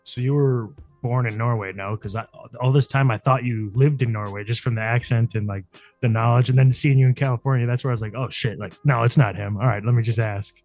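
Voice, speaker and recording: A man's voice: mid-range voice, fairly even intonation, a little fast, a little mellow, no echo, soft background music. A man hosting a podcast in loose, casual chat, full of "like" fillers and offhand swearing. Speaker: podcast host.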